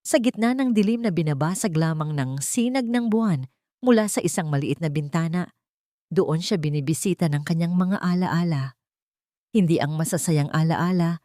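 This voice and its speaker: Expressive, low-pitched woman narrating drama. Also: normal speed, no echo, clean studio sound. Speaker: story narrator. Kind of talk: dramatic scripted narration of a Tagalog audio drama.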